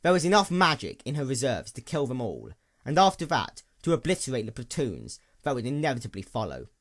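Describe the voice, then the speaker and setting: Animated male story narrator. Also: mid-range voice, a little fast. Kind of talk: scripted fiction narration.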